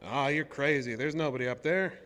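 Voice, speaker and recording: A male actor plays an easygoing oil-rig hand in a horror audio drama. His talk is colloquial and country-plain, at a medium pitch. Some ambient noise sits faintly under the voice. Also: a little fast, a bit bright, close to an everyday voice. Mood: casual, dismissive. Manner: folksy, colloquial rural speech. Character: folksy, easygoing oil driller nicknamed Porky. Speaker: narrator and lead character. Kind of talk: first-person horror audio drama storytelling. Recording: noisy track with ambient sound.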